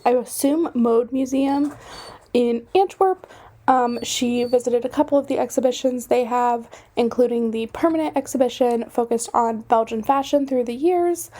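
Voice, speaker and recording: A young woman hosting a casual podcast, chatty and self-deprecating with lots of fillers, her voice slightly hoarse from a cold. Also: on the high side, mellow. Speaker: podcast host. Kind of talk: casual solo podcast chat.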